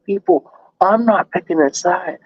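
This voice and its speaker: A man who has been drinking, rambling with profane, animated riffs in a casual chat. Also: high-pitched, a little slow, dry recording. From Texas. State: tipsy from drinking. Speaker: show host. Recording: dry, slightly dull lo-fi sound.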